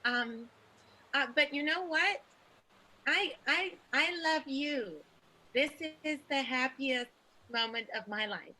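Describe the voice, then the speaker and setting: A woman's voice: high-pitched, slow pace, pushed and bright, heavily acted, rough recording. A woman playing an upset vaudeville sweetheart who insists she is happy, brittle and repetitive, in an improvised radio play. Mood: seething, deadpan. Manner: brittle, repetitive, sarcastically cheerful. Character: upset woman insisting through clenched cheer she's happy.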